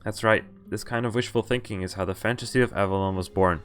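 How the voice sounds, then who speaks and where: A man's voice: fairly low pitch, fairly even intonation, fast talker, soft background music. A man streaming a visual novel, reading its text aloud and adding casual, wry asides, often stumbling over names and correcting himself.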